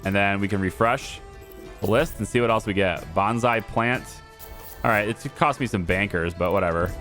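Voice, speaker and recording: A man with a lowish voice, hoarse as though he is losing it, talks his way through a video game in a casual let's-play. He mutters half to himself and half to his viewers, and game music plays steadily underneath. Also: moderate pace, a bit bright. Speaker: gaming YouTuber.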